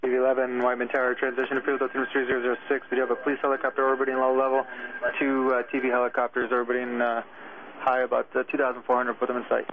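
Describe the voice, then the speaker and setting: A male air traffic controller talks over a thin aviation radio with faint static. His delivery is very quick, even in pitch and procedural, all business. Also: phone-quality sound. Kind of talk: clipped radio tower instructions.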